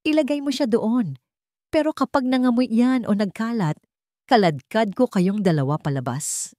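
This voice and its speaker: Woman as big male guard, gruff, bullying, scornful, mellow tone, audio drama story. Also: extremely high pitch, normal speed, dry recording, studio quality, voice pushed up. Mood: grudging, threatening. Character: large, scornful, barking male security guard.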